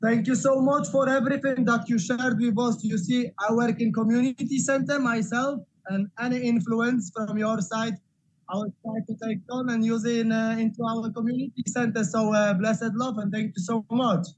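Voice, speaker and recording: A man's voice: very high-pitched, flat delivery, moderate pace, poor audio. A man from Poland who works at a community center, speaking hesitantly with many fillers on a video call. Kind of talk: casual remarks in an online meeting.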